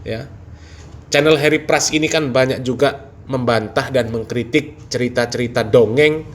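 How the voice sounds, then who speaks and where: A man works as a channel host, commenting casually on religious matters. His mid-pitched voice speaks straight to viewers, and his manner is amused and mocking.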